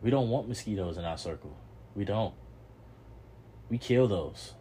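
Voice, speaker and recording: A man's voice: lowish voice, expressive, a little slow, warm tone, a little hiss or hum. A young man hosting a podcast, giving a preachy motivational monologue, repeating himself and swearing casually. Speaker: podcast host.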